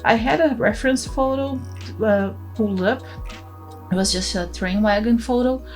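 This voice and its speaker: A woman's voice: a little high, moderate pace, faint music behind. Chatty Brazilian woman narrating a game. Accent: Brazilian Portuguese. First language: Portuguese. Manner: chatty, laughs often, restarts words. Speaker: gaming channel host. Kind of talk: casual voice-over commentary on a game build.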